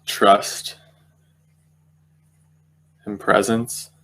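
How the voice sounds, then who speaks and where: A man with a low voice guides a meditation in a very flat, even tone. He speaks gently and unhurriedly, leaving long pauses between phrases as he finds his words. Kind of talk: guided meditation, unscripted spiritual talk.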